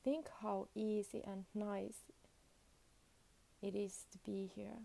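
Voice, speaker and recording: A woman guides a meditation at a very unhurried pace. Her voice is soft-edged and dark, with a noticeable fry in it. She speaks in short, soothing phrases and leaves generous space between them. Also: medium pitch, level tone.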